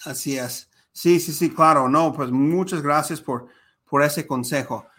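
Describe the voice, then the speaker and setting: A man's voice: a little high, a little slow, fairly bright tone. A man hosting an interview podcast, talking with many hesitations and fillers. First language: Spanish. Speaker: podcast host. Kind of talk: conversational interview.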